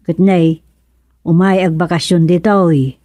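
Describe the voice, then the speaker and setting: An actress playing a chatty, teasing wife in a comic radio serial. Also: female voice, fairly low pitch, fairly even intonation, a little slow, mellow, no room sound, lightly acted. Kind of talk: comic radio drama acting.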